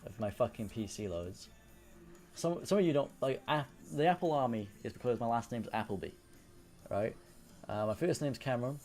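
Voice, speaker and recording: A young man from Wales with a Welsh accent softened by English, chatting casually on a live stream, rambling, swearing and answering chat. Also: mid-range voice, lively intonation, moderate pace, faint music behind. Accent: Welsh with English influence.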